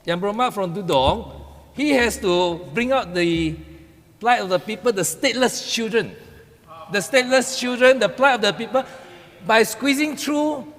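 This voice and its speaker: A Malaysian-accented man argues a point as a legislator in a formal debate. His voice sits a little high, and he halts and repeats phrases as he presses on. The large chamber adds a long, echoing reverb. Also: a bit bright. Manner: halting, repetitive, argumentative. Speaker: opposition state assembly member. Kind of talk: parliamentary debate speech. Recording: echoey hall with long reverb.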